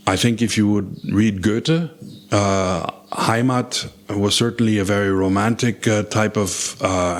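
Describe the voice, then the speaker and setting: A man in his sixties, raised in West Berlin, answers an interviewer's questions. His voice sits on the low side. He speaks in a measured way and often pauses on "uh" while he collects his thoughts. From grew up in West Berlin. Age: 60s. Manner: measured, with frequent hesitations. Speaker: interview guest. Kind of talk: conversational interview. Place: Thoiry, near Geneva.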